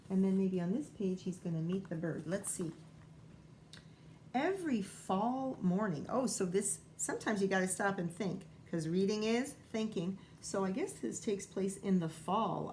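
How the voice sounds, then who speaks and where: A woman's voice: mid-range voice, mellow, a little hiss or hum. A woman schoolteacher reading a children's picture book aloud, informally thinking aloud and wondering as she goes.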